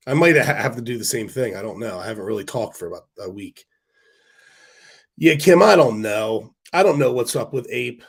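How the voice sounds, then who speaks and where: Hoarse man chatting on a stock stream. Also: medium pitch, animated, moderate pace. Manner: rambling, many fillers and restarts. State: hoarse from a cold. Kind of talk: casual livestream chat reading viewer comments.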